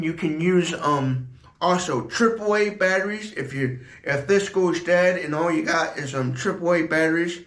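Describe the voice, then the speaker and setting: Echoey, higher-voiced man reviewing gear. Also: fairly bright tone. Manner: halting, repetitive explaining. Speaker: product reviewer. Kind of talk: casual product demonstration. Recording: echoey room.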